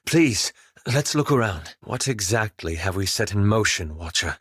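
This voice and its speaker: A man playing a polite, anxious, scholarly elven wizard in a video game, speaking with formal, measured, courteous diction. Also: medium pitch, fairly bright tone, dry recording, clean studio sound. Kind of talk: video game character dialogue.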